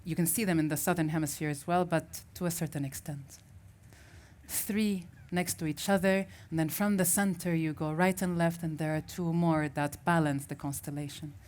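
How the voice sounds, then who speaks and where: Low-pitched woman from syria, arabic first language, telling a story to a crowd, pauses and restarts a lot. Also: fairly even intonation, darkish tone. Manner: reflective, searching for words with restarts. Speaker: festival speaker. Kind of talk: personal storytelling talk.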